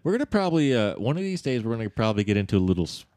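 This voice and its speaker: A man in his thirties co-hosts a casual podcast, his voice very low yet swinging up and down with animated pitch. He rambles with fillers and the odd swear word. The sound is close and dry. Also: racing, no echo. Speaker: podcast co-host. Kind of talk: casual chat.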